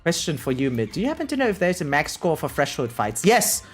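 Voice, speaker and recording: A game streamer chatting casually with viewers, excitable and sweary, reacting aloud and reading bits of game text. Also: mid-range voice, quick pace, faint music behind.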